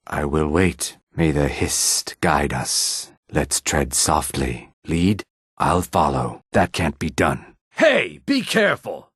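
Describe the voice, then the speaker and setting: Game casting: gruff streetwise reptilian male, very husky and low, wary, measured menace. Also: fairly bright tone, no echo, studio quality, exaggerated voice. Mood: calm, dutiful, then alarmed, angry. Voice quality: raspy, gravelly. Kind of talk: video game character dialogue lines.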